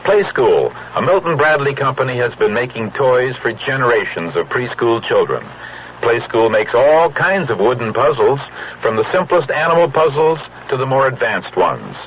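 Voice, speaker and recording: A man's voice: mid-range voice, big pitch swings, normal speed. A man working as a commercial announcer, reading a scripted vintage radio advertisement. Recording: muffled old radio recording with hiss.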